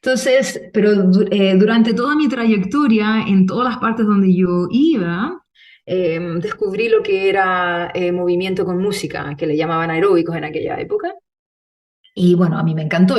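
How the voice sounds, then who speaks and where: A woman's voice: medium pitch, far from the mic. A woman from Chile, telling her story conversationally as a guest, in a meandering way with checking tags. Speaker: podcast guest, movement instructor.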